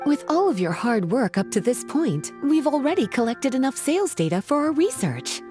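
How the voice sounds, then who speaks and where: A woman's voice: high voice, very animated, normal speed, dark tone, faint music behind. A woman voicing an elegant, teasing grown-up sorceress in a video game, coy, honeyed and playful. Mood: affectionate, teasing. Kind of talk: English video game voice acting.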